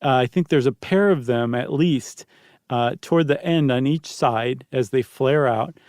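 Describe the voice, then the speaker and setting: A man's voice: mid-range voice, a little slow, a little mellow, dry recording, studio quality. A man co-hosting a podcast, explaining things in a casual, chatty and descriptive way. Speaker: podcast co-host.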